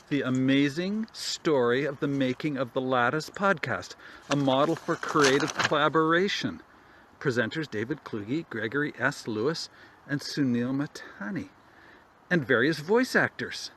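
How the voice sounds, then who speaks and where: A man theatrically reading a document aloud, halting and repeating words, reacting with exaggerated comic wonder. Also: fairly high pitch, fairly bright tone, a little hiss or hum.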